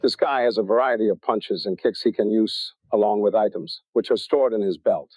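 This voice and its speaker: A man's voice: on the low side, a little fast, darkish tone, breathy edge, no echo, rough recording. A man reading a video game preview aloud.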